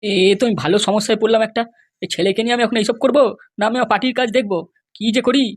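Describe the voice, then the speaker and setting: Woman voicing rapid-fire grumbling cartoon father. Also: a little high, breathy edge. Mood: grumbling, threatening. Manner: exasperated, grumbling, blustering. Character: grumbling, blustering middle-aged father and local politician. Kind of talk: cartoon dialogue.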